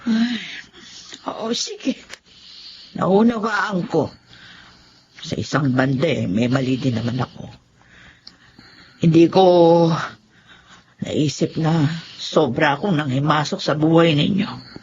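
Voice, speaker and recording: An actress playing a meddling but caring middle-aged mother in a Filipino radio drama, with an emotional, halting delivery. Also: sits low, animated, darkish tone, full character voice. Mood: contrite, tender. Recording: dull audio with faint noise.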